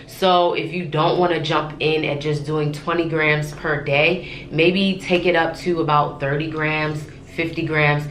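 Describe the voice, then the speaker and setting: A woman's voice: low-pitched, fairly even intonation, unhurried, few pauses, fairly bright tone, reverberant, slight background noise. A young woman vlogger with a congested voice from a cold, chatting casually to camera with lots of fillers and restarts. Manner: chatty, rambling, frequent fillers.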